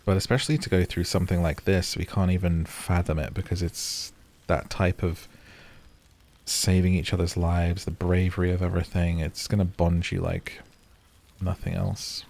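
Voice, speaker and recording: A man's voice: low-pitched, level tone, moderate pace, gravelly, a little mellow. A man doing cozy, casual game commentary, musing and rambling as he plays. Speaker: video game streamer.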